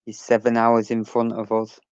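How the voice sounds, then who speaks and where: A man's voice: medium pitch, completely flat delivery, moderate pace, a little mellow, smooth, no room sound, muffled recording. A man hosting a casual livestream, chatting and reading out messages from his chat, swearing now and then.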